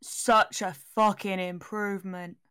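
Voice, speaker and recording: A woman with a mid-pitched voice chats informally as a podcast co-host. She gushes and swears freely as she reacts. Her voice sounds close and dry, with no real room around it. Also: little pitch movement, unhurried, a bit bright, clean tone, no echo. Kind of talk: casual reactive film chat.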